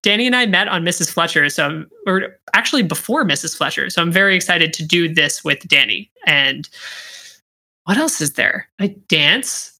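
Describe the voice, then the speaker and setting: A man's voice: fairly high pitch, animated, normal speed, pushed and bright. A young man, born in Australia and raised in Pittsburgh, introducing himself on a podcast in a playful, self-deprecating way. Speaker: guest host. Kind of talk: casual podcast chat.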